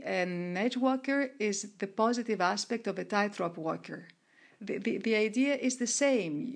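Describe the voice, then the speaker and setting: Middle-aged woman from greece, slow thoughtful interview answers with lots of ums. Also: medium pitch, vocal fry. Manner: measured, with frequent restarts and fillers. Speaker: guest, researcher and coach of women entrepreneurs.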